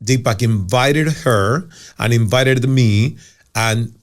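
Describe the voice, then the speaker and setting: A man's voice: mid-range voice, slow. A man with a Cuban Spanish accent and Spanish as his first language, hosting a casual interview, earnest and emphatic, often restarting his words. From Cuba. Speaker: podcast host.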